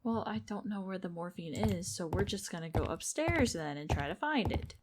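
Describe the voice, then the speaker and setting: A woman's voice: lowish voice, expressive, normal speed, very noisy. A woman giving casual playthrough commentary, thinking aloud as she plays. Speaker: game streamer.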